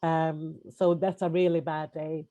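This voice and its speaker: A woman with a Swedish accent speaks over a video call in a low, mellow voice. She talks haltingly, with fillers and restarts as she hunts for English words. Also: little pitch movement, highs cut off. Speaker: webinar panelist. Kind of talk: personal testimony on a webinar.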